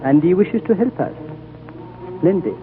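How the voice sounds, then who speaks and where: Ancient, solemn high lama. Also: male voice, sing-song, slight background noise. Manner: slow, grave, prophetic. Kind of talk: 1930s radio drama acting. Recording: old phone-like radio broadcast, faint music.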